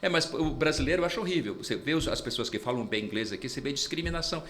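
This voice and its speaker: A man, an interpreter and English mentor, speaking as an interview guest. He explains his views earnestly and emphatically, in a voice of middling pitch. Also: animated, very fast, a bit bright. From Brazil.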